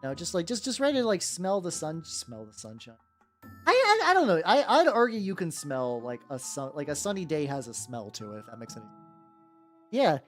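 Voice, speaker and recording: A livestreamer talks off the cuff with lively, swinging intonation. The delivery is rambling and irreverent, full of repeated words and casual swearing. Faint music plays behind the voice. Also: mid-range voice, brisk. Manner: rambling, stammering, repeats words mid-sentence. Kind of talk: casual livestream chat while painting miniatures. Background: background music.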